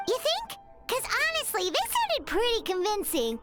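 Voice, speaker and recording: A woman voicing a small, chirpy, excitable fairy companion with a squeaky, childlike voice in a video game. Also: normal speed, full character voice. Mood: curious, doubtful. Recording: loud game music behind.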